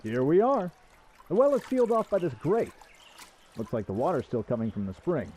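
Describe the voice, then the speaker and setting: A man acts out a bold, cheeky young prince for a radio play. His delivery is very animated, leaping up and down in pitch, over faint background noise. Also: normal speed. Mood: straining, eager.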